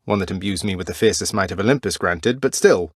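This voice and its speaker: An actor playing a young, rebellious underworld prince in a video game, wry and cocky, with dry, nonchalant understatement. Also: male voice, sits low, quick pace, dry recording. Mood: wry, defiant. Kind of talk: scripted video game character lines.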